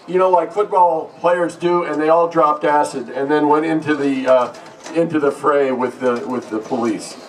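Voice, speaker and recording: Older guy with a highish voice telling a wry story, mic sounds far away. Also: slight background noise. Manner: dry, wry humor. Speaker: former radio staffer. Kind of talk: anecdote told to a live audience. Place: live event room. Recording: recorded from a distance.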